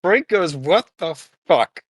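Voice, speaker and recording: A man's voice: a little high, lively intonation, slow, no echo. A man playing a tabletop roleplaying game, chatting casually.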